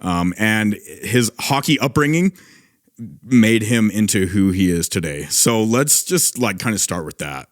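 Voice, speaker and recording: A man hosting a podcast, upbeat and chatty, opening with greetings and shout-outs. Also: fairly low pitch, animated, unhurried, dry recording, clean studio sound. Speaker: podcast host. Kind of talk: casual chat.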